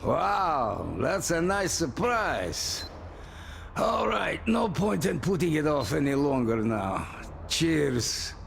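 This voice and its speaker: Gravelly, world-weary male captain. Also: on the high side, very animated, a bit bright, faint music behind, full character voice. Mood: wry. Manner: deliberate, wry, world-weary. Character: weary, distrustful older male captain of survivors. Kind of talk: cinematic video game acting.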